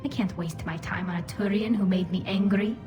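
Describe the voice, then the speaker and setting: A young female alien envoy from a video game, acted by a woman, earnest and quietly proud. She speaks at a middle pitch in measured, reflective phrases. Music is clearly heard behind her. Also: muffled recording, lightly acted. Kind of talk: video game cutscene acting.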